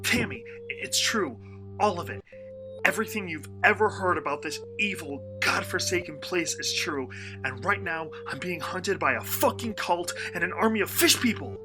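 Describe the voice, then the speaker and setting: A man's voice: sits high, lively intonation, moderate pace, over music. An actor playing a desperate, frightened man in hiding from monsters, urgent and faltering, in a horror audio drama. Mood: frantic pleading.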